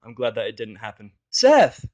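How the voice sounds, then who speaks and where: A man's voice: lowish voice, brisk, lo-fi sound. A man reading a scripted first-person fan fiction story as its narrator.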